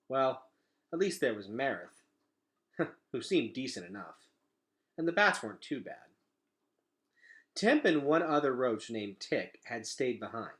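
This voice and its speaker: Bright, animated man reading a story aloud. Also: medium pitch. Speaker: read-aloud reader. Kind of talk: expressive children's storybook narration.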